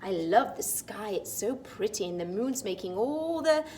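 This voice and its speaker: A woman storytime teacher reading a picture book aloud to young children, expressive and sing-song, with chatty asides. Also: medium pitch, normal speed, slight background noise.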